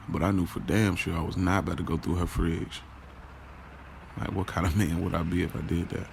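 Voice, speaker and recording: A man's voice: low-pitched, creaky, a little hiss or hum. A man playing a smitten, boastful yet self-questioning guy in an audio drama, telling his story casually and in slang, straight to the listener. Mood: wry. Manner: casual, slangy, confiding, addresses listeners directly. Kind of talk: first-person audio drama monologue, conversational storytelling.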